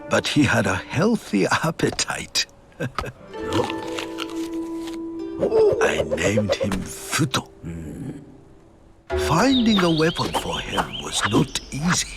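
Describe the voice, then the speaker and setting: A man voicing an ancient ninja master who narrates a game's story in a theatrical storybook manner, wise, fond and wistful, with measured dramatic pauses. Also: mid-range voice, huge pitch swings, gravelly, full character voice. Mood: fond, amused. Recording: music behind the voice. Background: music.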